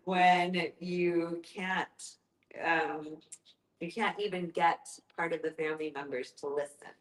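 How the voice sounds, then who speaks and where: A woman's voice: fairly low pitch, fairly even intonation, slow pace. A woman moderating a group discussion, posing questions conversationally and searching for her words. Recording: roomy echo.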